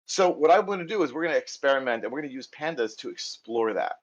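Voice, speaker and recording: Husky American man teaching code. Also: medium pitch, expressive, fast talker, dull audio. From United States. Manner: chatty, self-deprecating, thinks aloud while typing. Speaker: programming instructor. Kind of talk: live coding lecture.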